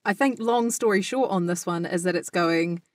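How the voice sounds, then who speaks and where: A woman co-hosting a podcast, summing things up in a measured way. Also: fairly low pitch, dry recording, studio quality. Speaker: podcast co-host. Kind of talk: casual chat.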